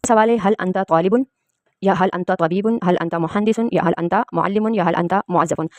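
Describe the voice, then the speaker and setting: Woman teaching arabic, lowish voice, talks fast, patient word by word. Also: darkish tone, no echo. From Pakistan. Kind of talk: spoken language lesson.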